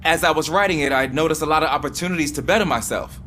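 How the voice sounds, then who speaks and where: A man's voice: on the high side, fast talker, pushed and bright, a little hiss or hum. An actor playing an earnest, self-doubting rookie policeman in a video game, polite and a little hesitant. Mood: earnest, apologetic.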